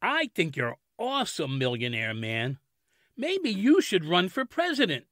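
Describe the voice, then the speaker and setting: Actor as high-voiced starstruck male fan. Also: wildly swooping pitch, measured pace, fairly bright tone, dry recording, studio quality, exaggerated voice. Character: eager, starstruck fan in awe of superhero. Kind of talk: comedic audio drama acting.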